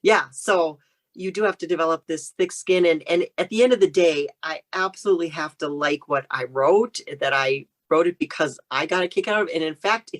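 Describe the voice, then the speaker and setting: A woman's voice: low voice, a little fast. An American woman speaking as a guest novelist in a casual interview, conversational and explanatory.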